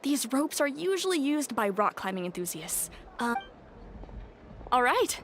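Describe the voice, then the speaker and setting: A woman's voice: high voice, very animated, a little slow, a bit bright, soft background music, heavily acted. A woman voicing a hesitant, obliging young shopkeeper in a video game, easily surprised.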